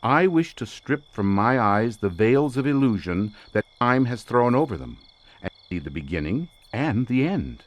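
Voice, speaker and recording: A fervent, imperious male researcher of the occult, played by an actor in an audio drama. He speaks in grand, formal phrases with a theatrical edge. His mid-pitched voice rises and falls dramatically. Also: very animated, normal speed, natural voice. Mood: fervent, determined.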